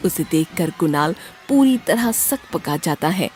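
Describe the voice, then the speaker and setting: A woman narrating a scripted horror story in a dramatic, deliberate storytelling manner. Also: fairly low pitch, animated, a little mellow, faint music behind.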